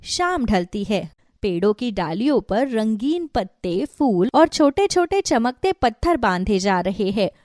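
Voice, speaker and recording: Animated woman narrator, fairly high voice. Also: measured pace, no echo, clean studio sound. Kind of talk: scripted children's story narration.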